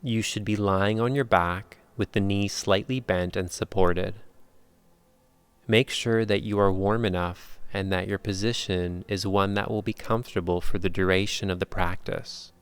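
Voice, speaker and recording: A man leading a guided yoga nidra meditation in calm, measured, unhurried instructions with long pauses. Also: low voice, clear, resonant tone, no room sound.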